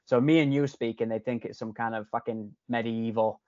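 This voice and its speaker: A man's voice: mid-range voice, steady tone, fairly quick, lo-fi sound, a crowd in the background. A man with a Yorkshire accent tinged with Canadian, chatting casually as a podcast guest with lots of restarts and the odd swear word. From England.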